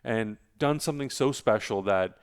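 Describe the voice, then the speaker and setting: A man's voice: fairly low pitch, normal speed, clean tone. A man co-hosting a film review show, talking casually and without a script. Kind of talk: casual unscripted movie review chat.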